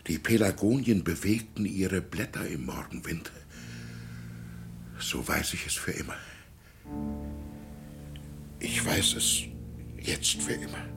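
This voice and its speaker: An actor playing a frail, gentle old village pastor in a radio drama, anxious and musing, hesitant and sometimes sinking toward a whisper. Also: male voice, low-pitched, animated, takes it slowly, creaky, long pauses, over music, full character voice. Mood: troubled, reflective. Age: older. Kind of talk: German radio drama acting.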